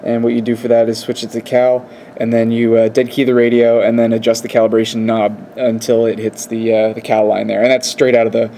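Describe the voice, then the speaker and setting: A man talks through a hands-on equipment test in a relaxed, mellow voice. His pitch stays fairly flat, and his explanations come haltingly. Faint noise sits behind him in an indoor room. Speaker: presenter of a radio equipment test. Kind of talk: casual hands-on demonstration. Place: indoors at a wooden workbench. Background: faint background noise.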